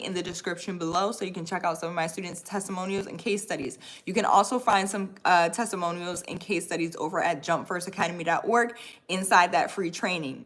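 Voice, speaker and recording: A woman's voice: on the low side, a bit bright. A woman in her thirties hosting a casual livestream, reading viewer comments and talking about online courses in a friendly, upbeat way. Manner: friendly, upbeat, says y'all and right often. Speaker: livestream host and course coach.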